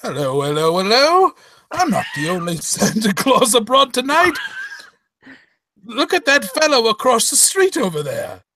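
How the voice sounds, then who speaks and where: A man playing a jovial, fussy gentleman detective in comic old-time radio style, stammering theatrically. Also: extremely high pitch, very animated, a little slow, no echo, exaggerated voice. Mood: curious.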